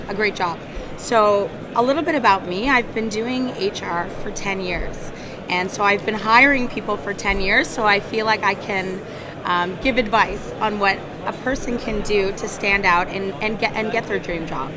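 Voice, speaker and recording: Scratchy voiced hr lady giving a talk, earnest, repeats herself, crowd noise in the hall. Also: mid-range voice. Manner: earnest, repeats words often. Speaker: HR recruiter giving a conference talk. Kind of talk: conference presentation. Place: conference hall.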